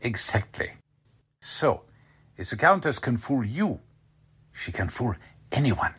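An actor playing a smug, courteous Nazi commander who speaks deliberately, in the manner of 1940s radio drama. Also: male voice, medium pitch, very animated, fairly bright tone, breathy edge, heavily acted. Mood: smugly pleased. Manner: courteous, deliberate, self-satisfied. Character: smug, scheming male Nazi spymaster, a commanding officer.

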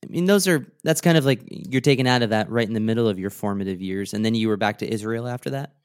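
A man's voice: fairly low pitch, fast, smooth. Laid-back podcast host man. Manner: brief reactions, swearing, laid-back. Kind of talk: casual interview chat.

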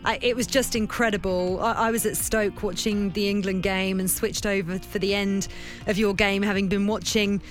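A woman's voice: medium pitch, steady tone, normal speed, soft background music. A woman hosting a sports radio show, upbeat and chatty with easy laughter, speaking from a radio studio. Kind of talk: lively radio presenting.

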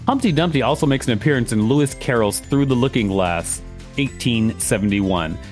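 A man's voice: medium pitch, lively intonation, normal speed, darkish tone, soft background music. A man co-hosting a podcast, reading an encyclopedia article aloud and adding casual commentary. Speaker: podcast co-host.

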